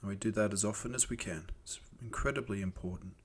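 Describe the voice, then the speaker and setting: A man with a fairly low voice guides a meditation. He speaks gently and without hurry, leaving soothing pauses between phrases as he gives each instruction. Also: slightly rough. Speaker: meditation teacher.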